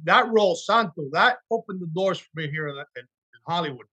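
A middle-aged man from Miami, a guest actor telling animated stories about auditions in a casual interview. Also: high-pitched, a little fast, husky, highs cut off. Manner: animated storyteller, acts out quotes. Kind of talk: casual podcast interview storytelling.

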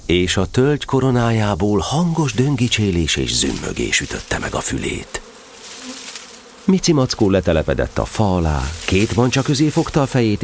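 A man playing a wry, patient narrator telling a children's story in a radio play, with a playfully deliberate delivery. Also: sits low, slightly rough, exaggerated voice.

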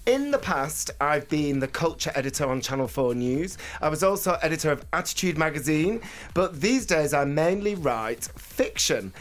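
Animated, higher-voiced man co-hosting. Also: moderate pace. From England, Bolton. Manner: animated, laughs often, teasing. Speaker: co-host. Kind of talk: casual radio chat.

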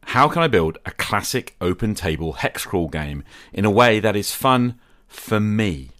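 Deep-voiced man hosting a podcast. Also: big pitch swings, unhurried, fairly bright tone. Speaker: podcast host. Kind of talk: scripted podcast monologue.

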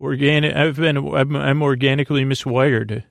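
Creaky-voiced man rambling softly at bedtime. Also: medium pitch, fairly even intonation, dry recording. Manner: halting, tangential, stumbling over words; soothing, lulling, whimsical. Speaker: podcast host. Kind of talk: rambling bedtime monologue to help listeners sleep.

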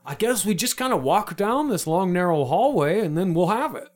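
A man's voice: high voice, expressive, normal speed, pitched above the performer's own voice. A man playing a drunken, dramatic knight whose grand, pompous phrasing keeps slipping into casual slang.

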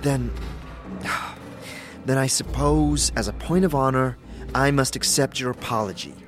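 A man acts as a proud warrior from another world, stiff and easily offended. His speech is haughty, formal and archaic, mid-pitched, with music behind it in an audio drama mix. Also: unhurried, lightly acted. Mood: formal, conceding. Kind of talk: fantasy audio drama acting.

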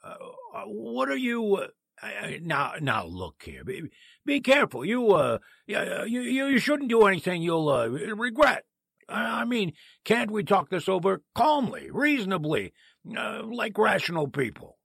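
Actor as a gravelly, oily, stammering man. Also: high voice, wildly swooping pitch, a bit bright, dry recording, studio quality, full character voice. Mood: nervous, pleading. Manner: halting, stammering, fussily polite. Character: sleazy gossip columnist, oily man, nervously pleading. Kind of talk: old-time radio drama acting.

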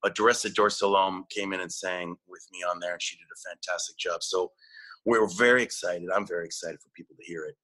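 A male musician answering interview questions, halting often with fillers and restarts. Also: deep voice, fairly even intonation, pushed and bright, low-quality recording. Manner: hesitant, many fillers and restarts. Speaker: musician guest.

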